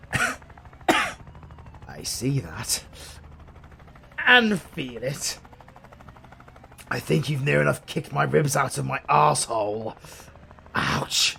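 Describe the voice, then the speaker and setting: A man's voice: mid-range voice, animated, takes it slowly, gravelly, pauses often, bright, forceful tone, slight background noise, full character voice. A British man playing a theatrical supervillain in a romantic comedy audio roleplay, swinging between menace and exasperation at the heroine he is smitten with. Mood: pained, tender. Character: flustered theatrical male supervillain, smitten with his heroine rival.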